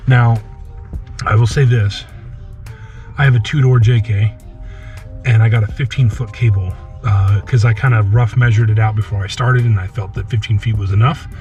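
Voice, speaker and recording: A man's voice: fairly low pitch, steady tone, normal speed, darkish tone, lo-fi sound, soft background music. A man giving an informal hands-on installation walkthrough, explaining it step by step. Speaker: off-road vlogger showing an install. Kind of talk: casual hands-on DIY walkthrough. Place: at a Jeep, working on it.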